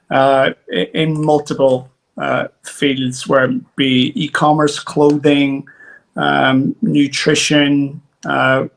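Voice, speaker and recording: A man in his forties from Derry with a Northern Irish accent, a guest telling his story in a rambling way, full of fillers and restarts. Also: on the high side. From Derry, Northern Ireland. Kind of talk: conversational interview.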